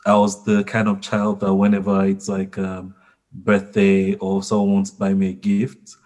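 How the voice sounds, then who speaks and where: A man born and raised in Nigeria, recalling his childhood in a relaxed interview conversation. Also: deep voice, moderate pace. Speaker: business owner.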